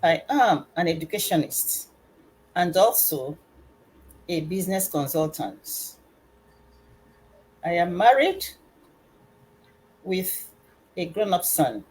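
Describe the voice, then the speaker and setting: A middle-aged woman from Nigeria, an educationist and business consultant, speaking formally and deliberately on an online panel. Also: on the low side, sing-song, a little mellow, poor audio. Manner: slow, measured, formal delivery with pauses.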